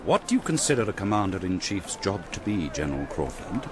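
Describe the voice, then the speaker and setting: A man's voice: low-pitched, big pitch swings, a little fast. A man playing a brusque, aristocratic commander-in-chief in a historical radio drama, curt and sardonic, amid outdoor noise. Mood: sardonic, commanding. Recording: noisy outdoor battlefield sound effects.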